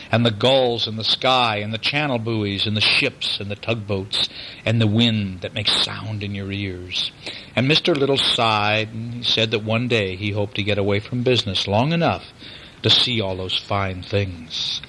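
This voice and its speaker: A man with a bright voice reads a bedtime story aloud. He is expressive and explains things simply to a young child, with faint noise in the background. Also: fairly low pitch, poor audio. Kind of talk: bedtime story reading aloud, with chatty asides.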